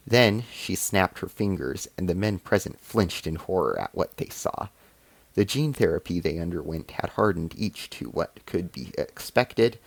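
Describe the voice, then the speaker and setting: A man's voice: low-pitched, vocal fry, husky, no echo. A man reading a science fiction short story as scripted narration.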